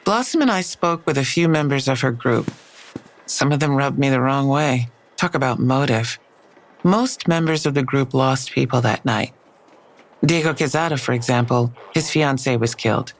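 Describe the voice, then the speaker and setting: An actress playing a skeptical police detective in an audio drama, dry and matter-of-fact, with a low, gravelly voice. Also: female voice, moderate pace, low-quality recording. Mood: briefing, suspicious.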